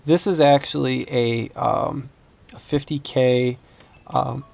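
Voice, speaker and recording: A man giving an unscripted hands-on demonstration as a repair instructor, thinking aloud in a halting way. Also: medium pitch, fairly even intonation, gravelly, darkish tone, no room sound. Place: indoors at a workbench.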